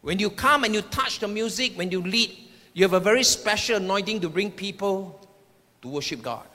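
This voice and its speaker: Guy preaching a sermon, pretty high sharp voice, repeats stuff for emphasis, big echoey hall. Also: lively intonation, normal speed. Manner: emphatic declarative delivery with repeated phrases. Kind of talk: sermon with personal prophetic words to the congregation. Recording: echoing hall with long reverb.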